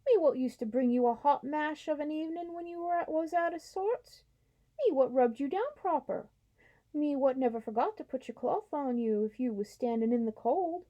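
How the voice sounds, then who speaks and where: A woman voicing a blunt working-class male cab driver in a storybook reading, with rough everyday speech. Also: sits high, animated, normal speed, warm tone, lo-fi sound, pitched above the performer's own voice. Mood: hurt.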